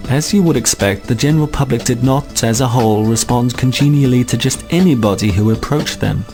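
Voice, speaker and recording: A man narrating a scripted comic science fiction radio drama in a measured, dry, formal manner. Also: mid-range voice, darkish tone, soft background music.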